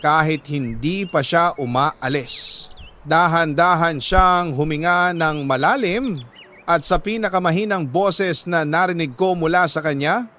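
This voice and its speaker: Higher-pitched man narrating a dramatic story. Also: unhurried, bright, forceful tone, slight background noise. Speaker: narrator reading a listener's letter.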